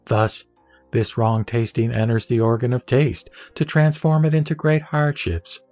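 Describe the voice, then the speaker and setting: A man's voice: medium pitch, fairly even intonation, a little slow, a little mellow, no echo. Solemn male scripture reciter over music. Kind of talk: solemn dramatic scripted narration of a religious text. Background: background music.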